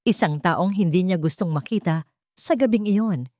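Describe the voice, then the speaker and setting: A woman's voice: on the low side, moderate pace, warm tone, no room sound, clean studio sound. A woman reading a scripted dramatic story as its narrator.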